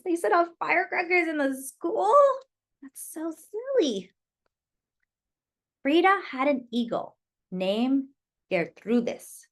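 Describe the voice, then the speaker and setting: High, very lively woman reading to children. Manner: expressive, addresses young listeners as friends. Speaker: storyteller reading a picture book to children. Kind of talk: children's read-aloud with short asides.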